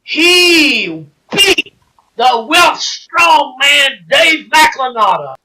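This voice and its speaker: A man doing excitable play-by-play for a wrestling show who bursts into shouts. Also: very high voice, drawn-out words, poor audio. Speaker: wrestling commentator. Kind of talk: play-by-play commentary.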